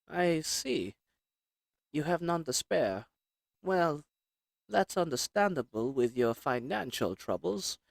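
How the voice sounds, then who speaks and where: A man's voice: high-pitched, vocal fry, dry recording, clean studio sound, voice pushed up, full character voice. A man voicing a polite, meek storekeeper in a roleplay audio drama, courteous and hesitant in manner. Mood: hesitant, deferential. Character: polite, meek storekeeper, an assassin's flirty disguise.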